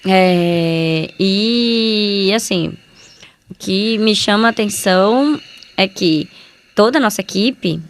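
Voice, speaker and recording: A Brazilian woman talks casually as a guest on a podcast. Her voice sits at a medium pitch and she repeats words to stress them. Slight noise runs behind her. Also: slow pace. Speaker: podcast guest, geneticist doctor.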